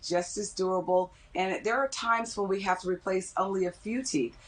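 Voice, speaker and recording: A middle-aged woman speaking as a dentist in an interview, explaining things in a measured way. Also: medium pitch, steady tone, poor audio. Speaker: dentist interviewed as expert guest.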